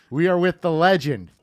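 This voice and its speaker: A man co-hosting a podcast, chatting casually with joking, teasing banter. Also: a little high. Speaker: podcast co-host.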